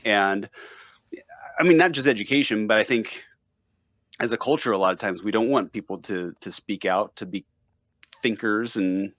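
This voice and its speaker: A man's voice: fairly low pitch, moderate pace, pauses often, fairly bright tone, dry recording, dull audio. A man who is a guest on a casual podcast talk, speaking hesitantly and searching for words, often repeating himself. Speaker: radio host, podcast guest.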